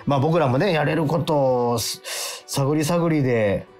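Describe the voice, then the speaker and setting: A man hosting a radio show, chatting casually and reading listener letters aloud in a halting, repetitive way. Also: on the high side, a little mellow. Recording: music playing behind. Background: background music.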